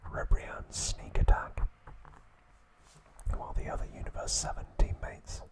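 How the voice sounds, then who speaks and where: A man hosting an ASMR video, narrating trivia in a soft, deliberate, unhurried whisper. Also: rough recording. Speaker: ASMR host.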